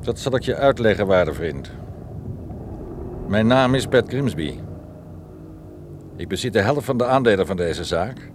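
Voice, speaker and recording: Low-voiced, mock-polite club heavy. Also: male voice, lively intonation, measured pace, long pauses. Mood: menacing courtesy. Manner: mock-courteous, wordy, veiled threats. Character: huge menacing ex-heavyweight boxer, nightclub co-owner, politely threatening. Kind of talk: radio play acting. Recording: noisy radio play recording.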